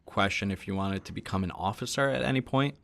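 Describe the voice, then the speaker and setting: Deep-voiced, jokey male co-host. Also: fast talker, creaky, fairly bright tone. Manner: jokey, informal. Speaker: podcast co-host, interviewer. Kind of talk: casual chat with joking questions.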